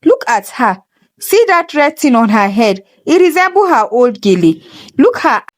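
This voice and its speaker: Woman acting a gawking Nigerian village gossip, high-pitched. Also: big pitch swings, moderate pace. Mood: amazed. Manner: exclaiming, pointing out in Pidgin.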